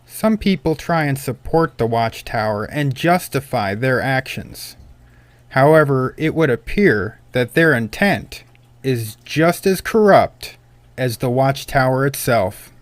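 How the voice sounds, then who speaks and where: A man narrates from a script in a measured, declarative manner. His voice is average in pitch. The recording is dry, with no room echo. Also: animated.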